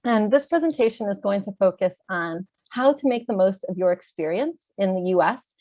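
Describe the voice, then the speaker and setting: A woman with an American accent from Chicago, a little congested, presenting a webinar in a clear, organized way with some "um" fillers. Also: mid-range voice, animated, normal speed, dark tone, no room sound. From Chicago, USA. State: slightly congested, clearing throat. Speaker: webinar presenter, education advisor. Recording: dull, dry video-call audio.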